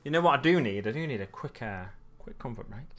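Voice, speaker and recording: A man's voice: mid-range voice, animated, quick pace, a bit bright. Man, casual streamer chat, self-mocking, playful. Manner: chatty, self-mocking, slips into playful accents. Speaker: streamer painting miniatures. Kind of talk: casual chat while painting, reading chat aloud.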